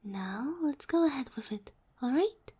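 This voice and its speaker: A woman voicing a sweet, polite kitsune familiar in an ASMR roleplay, soft and whispery, with formal, bashful phrasing. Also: high voice, expressive, a little slow, breathy edge, highs cut off, exaggerated voice. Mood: playfully coy. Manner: formal, courteous, slightly bashful. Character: sweet, polite kitsune fox-girl familiar, female, ageless.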